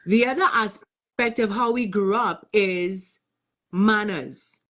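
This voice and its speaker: A woman from Trinidad with a Trinidadian accent, a guest telling stories in an interview on a video call, animated and laughing often. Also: medium pitch, takes it slowly. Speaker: guest, business owner. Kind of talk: casual interview storytelling.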